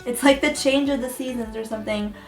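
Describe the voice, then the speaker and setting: A young woman, a little hoarse, chatting her way through a hair tutorial with lots of ums and likes. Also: a little high, fairly even intonation, fairly quick, soft background music. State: hoarse, getting her voice back. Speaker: beauty vlogger. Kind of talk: casual hair tutorial chat. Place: room at home beside a window. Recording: echoey room, mic a bit far.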